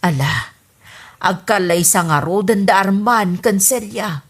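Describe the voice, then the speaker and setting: Woman doing a gentle hesitant ilocano wife, slow talker. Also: medium pitch, slightly rough.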